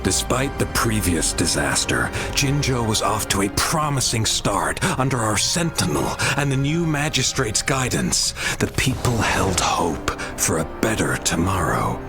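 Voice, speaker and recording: An actor playing a theatrical game storyteller, grandly declaiming heroic war legends with drawn-out emphasis. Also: male voice, on the low side, big pitch swings, music in the background. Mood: solemn, stirring. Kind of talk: dramatic storytelling narration.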